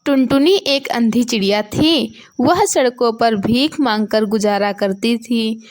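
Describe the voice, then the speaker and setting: A woman's voice: a little high, measured pace, a little mellow. A woman narrating a scripted moral story for children.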